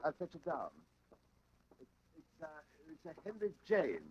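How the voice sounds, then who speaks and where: An actor playing a nervous, suspicious retired bank clerk, polite and hesitant, in an old-time radio thriller. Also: male voice, fairly high pitch, sing-song, measured pace, lo-fi sound, slight background noise. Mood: polite, uneasy.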